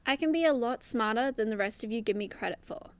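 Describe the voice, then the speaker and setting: A woman plays a level-headed, supportive superheroine in a radio comedy. Her voice sits a bit high and is recorded dry. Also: fast talker, dry recording, lightly acted. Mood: encouraging. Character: encouraging, level-headed female superhero teammate. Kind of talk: comedy radio play acting.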